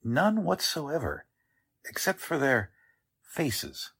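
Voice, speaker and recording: An actor playing a genial, easygoing small-town doctor in 1940s radio drama style, musing hesitantly in a folksy way. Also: male voice, on the high side, huge pitch swings, slow pace, creaky, fairly bright tone, breathy edge, full character voice. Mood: grave.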